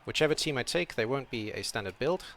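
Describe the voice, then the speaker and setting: A young British man from Wales with a slight European tilt to his accent, chatting casually while he streams a game, reading chat and thinking out loud. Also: medium pitch, lively intonation, fast talker. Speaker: streamer playing a video game. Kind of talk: casual live-stream commentary.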